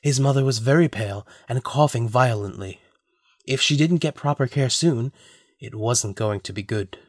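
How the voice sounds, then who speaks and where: A man's voice: medium pitch, normal speed, dry recording, studio quality. A man narrating a scripted audio drama as a storyteller.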